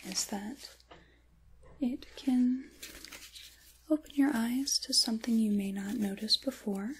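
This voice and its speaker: Slow, whispering woman as a kindly witch. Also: a little high, a little hiss or hum. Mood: gentle, reassuring. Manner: gentle, unhurried, intimate, reassuring. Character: kindly, caring witch reading tarot. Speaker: ASMR roleplay performer. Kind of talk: soft-spoken ASMR roleplay, largely whispered.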